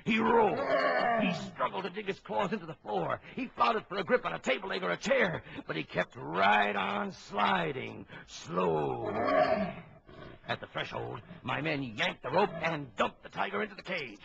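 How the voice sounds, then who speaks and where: Gravelly ship's captain spinning adventure. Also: male voice, high voice, animated, normal speed, a crowd in the background, full character voice. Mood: suspenseful. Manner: dramatic, suspenseful storytelling. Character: ship's captain, steady, authoritative, telling an animal adventure. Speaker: narrator. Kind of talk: dramatized children's story record narration. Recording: old lo-fi children's record, music behind.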